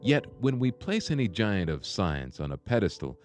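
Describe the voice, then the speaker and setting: Male documentary narrator, measured and low, with clear music underneath. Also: big pitch swings. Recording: voice-over with clear music bed.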